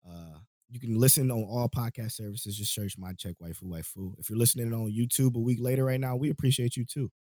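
A man's voice: mid-range voice, fairly quick, hardly any pauses, mellow, no room sound, clean studio sound. A man hosting a casual podcast, talking in a laid-back, rambling way with frequent laughs, his voice a little worn from a cold. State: voice going, catching a cold. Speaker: podcast host.

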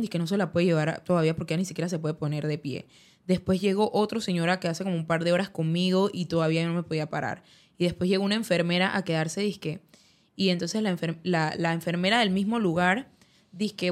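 A woman, a guest in an interview, recounting a personal story in conversation, who acts out the dialogue she quotes. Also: on the low side. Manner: narrative, acts out quoted dialogue, uses many fillers like "dizque". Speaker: guest telling her story.

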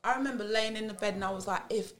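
A young adult woman from England with a London accent, a podcast guest talking candidly and laughing through animated stories. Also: medium pitch, rapid-fire. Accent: London English. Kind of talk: casual chat.

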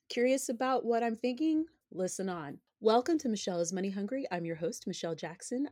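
A woman in her thirties hosts her own money podcast, talking in an open, opinionated and conversational way. Her voice is a touch hoarse. The sound is close and dry, with no room around it. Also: medium pitch, mellow, no echo. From United States. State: slightly hoarse, recovering from illness. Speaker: podcast host. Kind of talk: personal finance podcast monologue.

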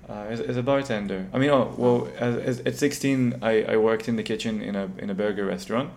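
A man's voice: on the low side, fairly even intonation, brisk, vocal fry, a little mellow, slight background noise. A young man who grew up in Israel, a company co-founder, answering interview questions hesitantly, often repeating words.

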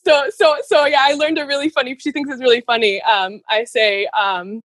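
A woman's voice: high-pitched, steady tone, moderate pace, fairly bright tone, no room sound, studio quality. A woman speaking casually as an interview guest, earnest and rambling, in a giggly conversational way. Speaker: interview guest, runner and storyteller.

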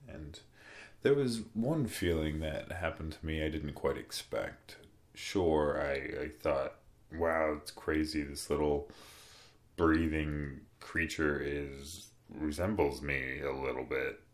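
A young man with an extremely low, deep voice tells a personal story in an unhurried, rambling way. He speaks softly and plainly, with plenty of fillers and restarts, like a podcast host talking to friends. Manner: relaxed, rambling, soft-spoken with fillers.

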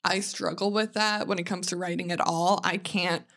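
A woman chatting casually and telling stories in an animated way, acting out quotes as she goes. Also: lowish voice, moderate pace. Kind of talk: casual chat.

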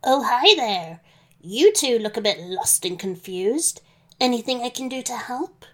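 A woman's voice: fairly high pitch, lively intonation, a little slow, fairly bright tone. A woman voicing a friendly, eager male wolf with a chatty, folksy manner in a children's story. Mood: friendly, helpful.

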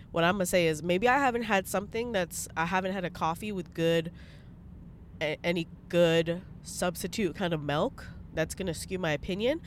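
A woman's voice: on the low side, a little hiss or hum. A young woman with a congested voice, chatting bluntly in a casual vlog from her parked car, swearing freely. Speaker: vlogger.

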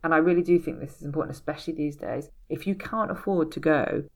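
A woman's voice: sits low, fast, a little mellow. A woman working as an audiobook casting director, answering interview questions in an earnest, emphatic way with many restarts and "you know"s.

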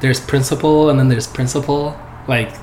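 A relaxed young man talks in a loose, rambling way, his words full of fillers and easy laughs. His first language is not English, and his pitch sits in a middling range. The room is echoey, and a steady hiss of noise runs behind him. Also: moderate pace. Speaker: software developer guest. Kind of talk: casual interview chat.

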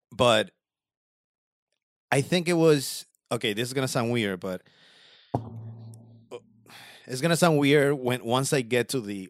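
A man in casual chat, telling a long story in a halting, rambling way with slang and many fillers. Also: medium pitch, no room sound, clean studio sound.

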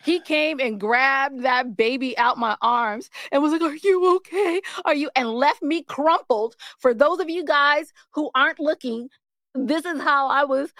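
American female co-host, higher voice, close dry sound, candid playful storyteller. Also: unhurried, fairly bright tone, dry recording. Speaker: podcast co-host. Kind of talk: casual relationship banter and storytelling.

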